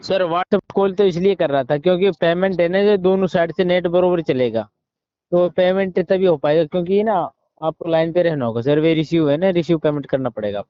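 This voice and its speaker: A man's voice: high-pitched, a little fast, clear, resonant tone, no echo. An Indian man on a muffled recorded phone call, negotiating informally in an insistent, repetitive way with English words mixed in. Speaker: phone caller posing as a soldier buyer. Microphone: phone line.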